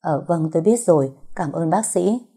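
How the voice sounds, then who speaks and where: Woman acting timid husband, middle-aged man, soft scolding, conciliatory, dark low voice, audio storybook. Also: animated, moderate pace, breathy edge. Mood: worried. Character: mild, timid middle-aged husband, placating his family.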